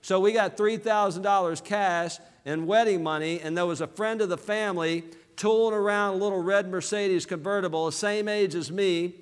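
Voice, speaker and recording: A man from southern Alabama with a Southern American accent giving an energetic motivational business seminar, calling out to his audience for responses. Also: sits high, normal speed, few pauses, smooth. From southern Alabama, USA. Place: large hall with live audience. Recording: reverberant hall.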